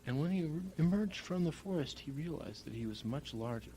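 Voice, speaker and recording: A man with a gravelly voice telling an improvised bedtime story in halting, drawn-out phrases. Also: fairly high pitch, animated, warm tone. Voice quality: gravelly, creaky. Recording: noisy home recording with hum.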